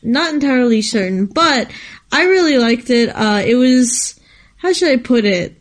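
A woman's voice: a little high, expressive, moderate pace. A woman co-hosting a casual, unscripted chat, talking in an informal, slangy way and often thinking aloud as she answers. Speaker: co-host answering viewer questions.

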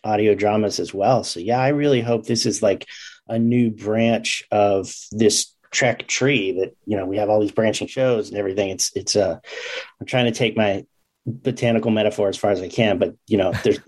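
A man's voice: fairly low pitch, fairly even intonation, normal speed, darkish tone. An American man from Chicago chatting casually as a podcast guest. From Chicago, United States. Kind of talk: casual chat.

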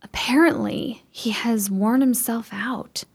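Bold, husky, high-voiced sister played by an actress. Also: female voice, takes it slowly, exaggerated voice. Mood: tense, awed. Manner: formal, dramatic diction. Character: bold, curious, strong-willed sister in a gothic chiller. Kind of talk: theatrical radio play acting.

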